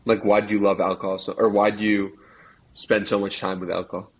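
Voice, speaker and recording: A man interviewing a guest on his podcast. His voice is fairly low. He keeps his turns short and asks curious follow-up questions. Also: flat delivery, fairly quick, rough recording. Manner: brief curious prompts and back-channel. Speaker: podcast host. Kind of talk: interviewer asking follow-ups.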